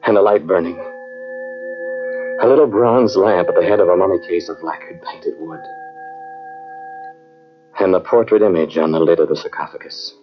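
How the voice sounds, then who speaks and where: An actor playing a haunted scientist in an old-time radio drama, telling of a terrifying ordeal in a dramatic, halting, brooding way. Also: male voice, sits low, lightly acted. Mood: hushed dread. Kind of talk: old-time radio drama acting, first-person narration. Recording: dull old cassette radio recording, music behind.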